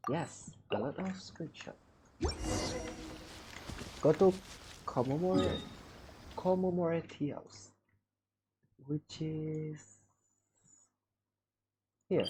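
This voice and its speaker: A woman's voice: sits low, dark tone, slight background noise. A woman livestreaming a game, casually muttering and thinking aloud as she plays. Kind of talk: casual live gameplay commentary.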